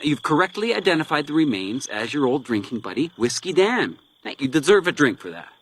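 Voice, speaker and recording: Swooping, high-voiced male radio-drama villain. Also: sing-song, a little fast, slight background noise. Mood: gleeful taunting. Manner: mocking, theatrically playful. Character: sadistic, taunting male villain who plays deadly games. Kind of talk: radio crime drama acting.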